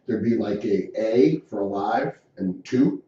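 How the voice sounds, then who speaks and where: A man's voice: on the low side, lively intonation, measured pace, mellow. A middle-aged man, an insurance agency owner, answering interview questions as an earnest, descriptive storyteller. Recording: distant mic, very echoey room, dull.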